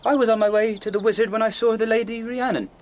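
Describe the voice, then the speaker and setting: A man's voice: sits high, steady tone, fast talker, slight background noise, natural voice. An actor playing an ardent, cocky young king who teases, commands and pleads in a radio drama. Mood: brisk, commanding. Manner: commanding yet pleading, teasing.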